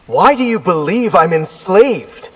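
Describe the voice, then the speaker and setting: Calm earnest young ninja, high lively pitch, reverb and music behind. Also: male voice, a little slow, background noise. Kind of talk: fighting game intro dialogue.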